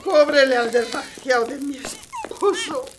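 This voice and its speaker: A woman's voice: high-pitched, sing-song, heavy background noise. A woman playing a foul-mouthed, embittered peasant wife in a radio play, scolding and wailing. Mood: scornful. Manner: scolding, wailing, drawn-out cries.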